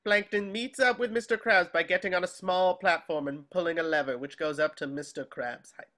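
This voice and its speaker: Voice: medium pitch, fairly even intonation, a little fast, a bit bright. A narrator reading scripted stage directions at a table reading.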